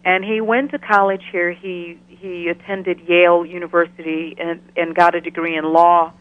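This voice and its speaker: A middle-aged woman who grew up in Ghana, answering interview questions over the telephone in a measured, thoughtful way, often restarting her sentences. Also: fairly low pitch, flat delivery, no echo. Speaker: interview guest, biochemistry professor. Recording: tinny phone line, dry.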